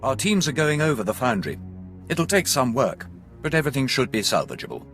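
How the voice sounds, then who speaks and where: An actor playing a loyal Imperial aide in a video game, formal and measured. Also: male voice, medium pitch, big pitch swings, fairly bright tone, faint music behind. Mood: matter-of-fact. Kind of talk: dramatic video game acting.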